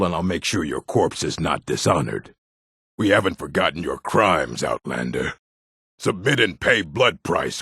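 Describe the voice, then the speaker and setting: Stern orc warrior, very low, gravelly, solemn, threatening, fantasy game. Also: male voice, fairly bright tone, no echo, clean studio sound, exaggerated voice. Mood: stern, commanding. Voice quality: gravelly, husky. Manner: blunt, solemn, commanding. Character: stern, proud orc stronghold warrior, honor-bound and threatening. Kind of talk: video game character voice acting.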